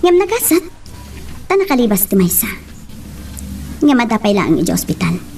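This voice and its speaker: A woman's voice: fairly high pitch, sing-song, moderate pace, vocal fry, darkish tone, faint music behind. A woman acting an earnest, pressing woman mayor in an Ilocano radio love drama. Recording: somewhat distant mic, faint noise.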